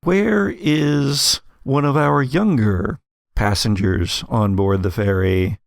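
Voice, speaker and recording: A man narrating an actual-play game as game master, deliberate and atmospheric, with dramatic pauses. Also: medium pitch, steady tone, creaky, dry recording, studio quality.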